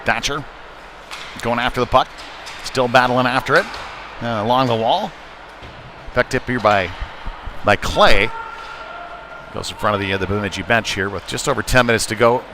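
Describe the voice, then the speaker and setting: A middle-aged or older man doing live ice hockey play-by-play in a conversational, halting way with short clipped phrases. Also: mid-range voice. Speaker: play-by-play commentator. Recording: noisy live arena sound. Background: rink noise and ambience.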